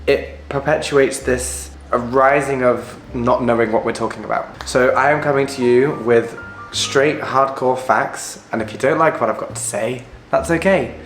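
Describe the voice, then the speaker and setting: Husky man, echoey room. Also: medium pitch, a bit bright. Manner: direct, explanatory, asks the viewer if it makes sense. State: husky from recovering flu. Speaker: hairstylist. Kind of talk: educational vlog.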